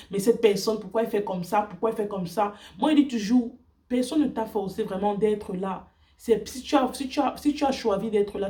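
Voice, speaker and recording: A woman whose first language is English speaks in earnest, halting French, with many fillers and restarts, sharing her faith on a casual vlog. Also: medium pitch, mellow, distant mic.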